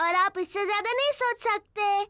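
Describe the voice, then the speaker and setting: A woman's voice: very high-pitched, moderate pace, bright, forceful tone, dry recording, studio quality. A woman voicing a giggly, bossy little girl piglet in a children's cartoon dub, playful and assertive. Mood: bossy, proud.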